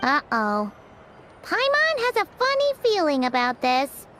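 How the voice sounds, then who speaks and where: A woman voicing a tiny, cheeky, excitable childlike fairy companion in an anime game, with a squeaky delivery. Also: measured pace, background noise, heavily acted. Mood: hesitant. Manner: squeaky, refers to self in third person.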